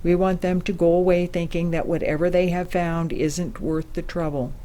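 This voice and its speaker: A woman playing a formal, measured ambassador briefing her crew in an audio drama. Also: lowish voice, little pitch movement, dark tone, lightly acted. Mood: firm, determined. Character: composed female ambassador leading a secret space mission.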